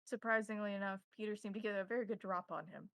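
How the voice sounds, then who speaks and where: A woman's voice: medium pitch, quick pace, gravelly, fairly bright tone, no room sound. A woman game master narrating a tabletop roleplaying game.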